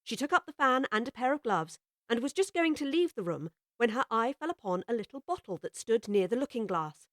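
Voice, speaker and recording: A woman narrating a classic children's story in a measured, gently expressive way. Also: a little high, no echo, studio quality.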